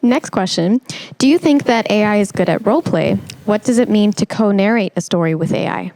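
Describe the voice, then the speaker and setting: A woman's voice: mid-range voice, expressive, fairly quick, darkish tone, dry recording. A woman speaks as a podcast interviewer in a mid-range voice. Her delivery is conversational and reflective, with opinions of her own. The recording is dry, with little room sound. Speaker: podcast host interviewing a guest.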